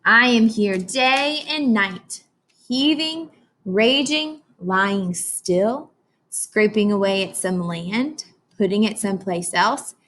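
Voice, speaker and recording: A woman's voice: medium pitch, slow pace, fairly bright tone. A woman with an American accent reading a picture book aloud as a teacher, explaining simply and prompting young listeners with questions.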